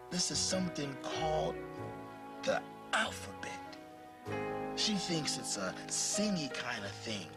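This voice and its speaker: Animated, unhurried man reading a story over music. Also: a little high. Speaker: story narrator. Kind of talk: expressive storybook read-aloud narration. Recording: clear music playing behind the voice.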